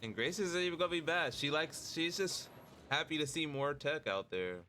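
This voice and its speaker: A man's voice: a little high, animated, moderate pace, pushed and bright, soft background music. Chatty male streamer commenting on a game. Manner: chatty, amused asides.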